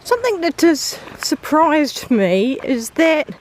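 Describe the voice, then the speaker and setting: Woman, mild New Zealand accent, higher voice, bouncy chatty delivery, recorded handheld on an outdoor trail with faint background noise. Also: big pitch swings, slow. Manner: chatty, laughs often, talks while walking. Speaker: hiker vlogger. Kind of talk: casual narration while hiking.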